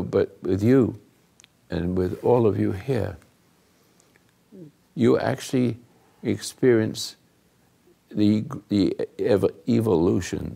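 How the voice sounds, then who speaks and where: An older man hosting a talk, giving rambling, earnest moral teaching to a live group, often dropping into hushed asides. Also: fairly low pitch. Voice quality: gravelly, husky. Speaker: host and spiritual counselor.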